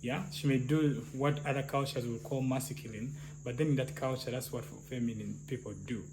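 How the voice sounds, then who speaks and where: A man with a soft, slightly high voice, thinking aloud in casual discussion with many restarts and "yeah?" tags. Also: fairly even intonation, normal speed. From Uganda. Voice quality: soft, somewhat high-pitched voice. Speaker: clinical psychologist, co-host. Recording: noisy, crickets and hum.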